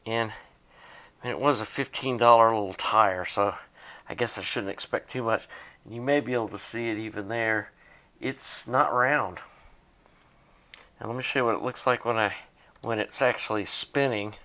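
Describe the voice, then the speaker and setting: A man's voice: fairly low pitch, moderate pace, pauses often, pushed and bright. Plainspoken man narrating a hands-on repair. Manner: plainspoken, unscripted, thinking aloud while working. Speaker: hobbyist demonstrating a tape deck repair.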